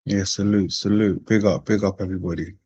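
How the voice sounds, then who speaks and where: Husky laid-back man hosting a stream. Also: on the low side, fairly even intonation, a little fast. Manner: laid-back, repeats phrases, slangy shout-outs. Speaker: host of a live-streamed panel. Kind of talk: casual chat, greeting viewers. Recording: dull, lo-fi stream audio.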